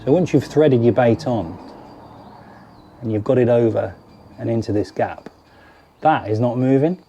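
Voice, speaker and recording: Relaxed British presenter in his forties, mellow tone, chatty hands-on product walkthrough. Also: medium pitch, unhurried, a little hiss or hum. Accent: British English. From United Kingdom. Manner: chatty, hands-on, explains while fiddling with lures. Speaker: fishing tackle presenter. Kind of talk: casual product demonstration to camera. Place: garden cabin or shed, indoors.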